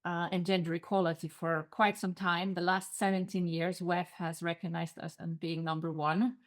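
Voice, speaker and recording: A woman's voice: fairly low pitch, flat delivery, normal speed. A middle-aged woman with an Icelandic accent, a guest telling her story in an earnest way on a podcast interview. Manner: earnest, storytelling, occasional non-native phrasing.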